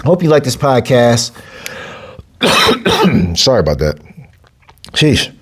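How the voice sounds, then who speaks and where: A man's voice: wildly swooping pitch, takes it slowly, a bit bright, slightly rough. Guy in his 40s, coach rambling on his podcast, punchy, repeats himself a lot, voice jumps up when he makes a point. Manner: emphatic, repeats phrases, big pitch jumps for emphasis. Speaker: podcast host, boxing and capoeira coach. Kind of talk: casual solo podcast monologue.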